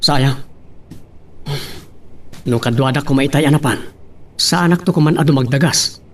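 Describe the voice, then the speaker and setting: A man's voice: medium pitch, normal speed, lightly acted. A man acting a concerned grown son in an Ilocano audio drama. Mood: concerned, curious. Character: concerned grown son questioning his father.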